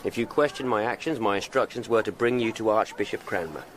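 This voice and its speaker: An actor playing a stern, secretive royal coroner with an English accent, speaking with formal authority in a radio drama. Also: male voice, on the low side, fast talker, a little hiss or hum, lightly acted, low-quality recording. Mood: guarded.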